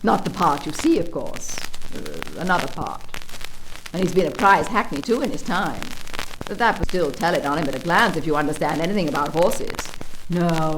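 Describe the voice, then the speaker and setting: Woman playing a pompous, boastful storybook toad. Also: sits low. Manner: pompous, indignant, haggling. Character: boastful, conceited male toad posing as a washerwoman. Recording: noisy old spoken-word record.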